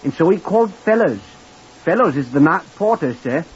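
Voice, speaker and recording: An eager young lift boy who rambles on and on, deferential to a fault. His voice sits a little high, and it comes through a muffled old radio recording with a faint hiss. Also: sing-song, moderate pace. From Blackpool, Lancashire. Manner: deferential, says sir constantly, rambling. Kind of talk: 1940s radio acting.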